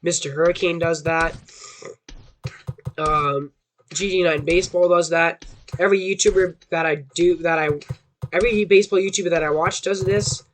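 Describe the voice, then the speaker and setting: A young man with a hoarse voice from a sore throat, rambling through casual gaming commentary with a self-doubting tone. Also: fairly high pitch, fairly even intonation, moderate pace, long pauses, fairly bright tone, slight background noise. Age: young adult. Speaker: gaming YouTuber.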